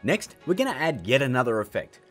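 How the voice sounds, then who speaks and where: A man's voice: medium pitch, sing-song, fairly quick, faint music behind. A man in his thirties hosting a casual scripted tutorial in a jokey, emphatic, theatrical way. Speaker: tutorial host.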